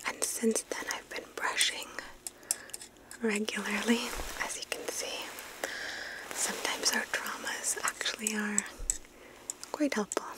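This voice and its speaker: A woman whispering breathily and gently, slow and reassuring, close to the microphone, in an ASMR roleplay as a dentist. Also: fairly high pitch, low-quality recording.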